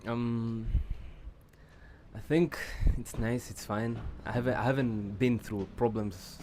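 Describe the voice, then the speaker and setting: A man's voice: mid-range voice, steady tone, takes it slowly, darkish tone. A young man from Tanzania chatting informally as a student interview guest, often repeating himself. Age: young adult. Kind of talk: casual chat. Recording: faint background noise and ambience.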